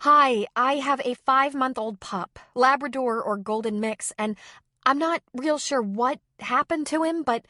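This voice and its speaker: A woman's voice: sits high, a little slow, pushed and bright, no echo, studio quality, voice pushed up. A woman voicing a practical adult woman who gives firm, urgent instructions.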